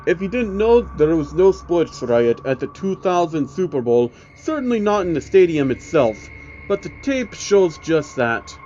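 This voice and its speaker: Guy doing a blunt wary tape collector in a creepy story, warns you flatly, kinda dull noisy audio. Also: a little high, unhurried, pitched above the performer's own voice. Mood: matter-of-fact warning. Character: wary, blunt male keeper of cursed tapes.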